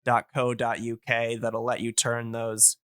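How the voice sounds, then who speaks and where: A man's voice: mid-range voice, completely flat delivery, a little slow, a bit bright, smooth, no echo, clean studio sound. A man in a casual podcast chat, explaining things enthusiastically and in a discursive, meandering way.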